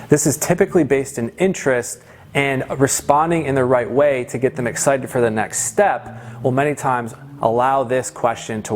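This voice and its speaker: A man giving an instructional talk as a sales trainer, conversational and emphatic, often checking in with the listener. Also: medium pitch. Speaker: sales trainer presenting a course. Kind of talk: instructional presentation with role-played example lines.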